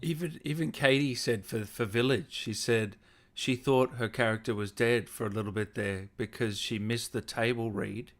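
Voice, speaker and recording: A man's voice: medium pitch, normal speed. A man with an Australian accent asking questions as the host of a casual, conversational interview.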